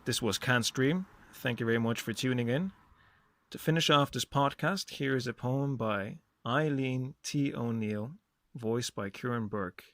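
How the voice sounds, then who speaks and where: A man's voice: mid-range voice, unhurried, fairly bright tone, no room sound. A man hosting a podcast, talking casually as he gives recommendations and introductions. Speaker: podcast host.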